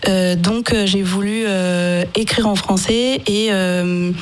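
A woman's voice: medium pitch, fairly even intonation, slow, clear, resonant tone. Hesitant woman in a radio interview. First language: French. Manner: hesitant, many fillers. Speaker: singer-songwriter guest.

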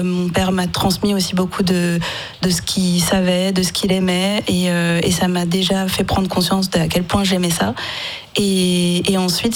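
Hesitant woman in a radio interview. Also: lowish voice. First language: French. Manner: hesitant, many fillers. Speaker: singer-songwriter guest.

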